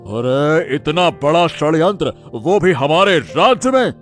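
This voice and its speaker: A man playing an agitated, commanding king in a cartoon story, speaking in a loud, urgent, imperious way. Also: fairly high pitch, expressive, a little slow, soft background music. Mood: outraged, resolute.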